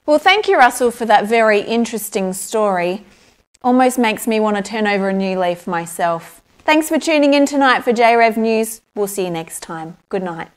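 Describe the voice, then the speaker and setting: A woman playing a polished news anchor in a mock newscast, with a crisp, formal newsreader delivery. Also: mid-range voice, lively intonation, normal speed, lightly acted.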